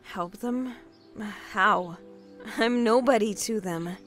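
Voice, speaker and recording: A woman's voice: mid-range voice, slow, fairly bright tone, soft background music. A woman playing a vulnerable, guarded survivor in an audio drama, speaking hesitantly. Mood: hesitant, subdued.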